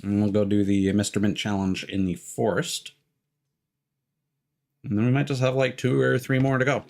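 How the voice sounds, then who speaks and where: A man's voice: lowish voice, little pitch movement, normal speed, vocal fry, darkish tone. A man giving casual live gameplay commentary as a streamer, thinking aloud in loose, candid asides. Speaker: game streamer. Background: game music.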